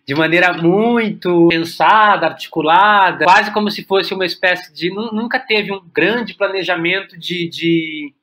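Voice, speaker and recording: A Brazilian man talking reflectively as an interview guest, haltingly, repeating words as he searches for the next one. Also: sits high, sharp tone. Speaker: podcast guest, playwright and director.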